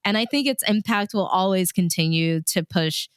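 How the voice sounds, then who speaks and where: A woman's voice: medium pitch, moderate pace, clean tone, dry recording, studio quality. A woman radio DJ chatting as an interview guest, informal and enthusiastic, with plenty of "like" and "you know". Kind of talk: casual conversational interview.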